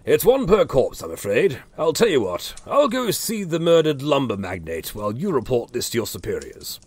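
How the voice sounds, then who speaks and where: A man's voice: medium pitch, huge pitch swings, moderate pace, slightly rough, no echo. A man doing a chipper, nosy cleric. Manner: chirpy, busybody. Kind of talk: audio drama acting.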